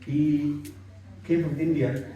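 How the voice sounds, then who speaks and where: Male religious speaker, warm dark tone, conversational and repetitive, recorded off-mic in a very reverberant room. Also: fairly high pitch, fairly even intonation, slow, muffled recording. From Bangladesh. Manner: conversational, repetitive, seeking agreement with tag questions. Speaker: Islamic study circle speaker. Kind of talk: informal religious talk. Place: gathering in a private house. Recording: echoey room, distant microphone.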